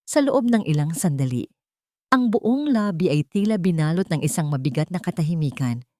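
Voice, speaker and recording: A woman narrating a scripted dramatic story in a solemn, deliberate, melodramatic way. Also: low-pitched, lively intonation, dark tone, no echo, studio quality.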